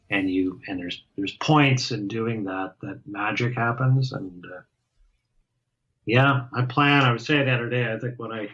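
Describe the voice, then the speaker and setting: A man talking casually as an interview guest, halting and restarting often as he explains how he plays drums. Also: lowish voice, fairly bright tone, dull audio. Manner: halting, many restarts and fillers. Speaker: guest drummer.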